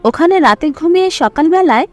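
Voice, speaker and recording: A woman's voice: extremely high pitch, expressive, brisk, a little mellow. A woman narrating a scripted audio story as its storyteller.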